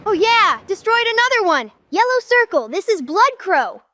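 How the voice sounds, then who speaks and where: A woman's voice: extremely high pitch, big pitch swings, normal speed, bright, forceful tone, no room sound, studio quality, exaggerated voice. A woman voicing a cocky, spirited young kid fighter in a comic dub, with a brash manner. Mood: cocky, pleased.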